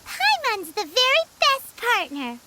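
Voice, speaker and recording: A tiny fairy girl with an extremely high, squeaky voice that swings wildly in pitch. She is excitable, greedy and boastful, drawing her words out slowly like an eager child in a game. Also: heavy background noise, heavily acted. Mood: chirpy, confident. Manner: refers to self by name in third person.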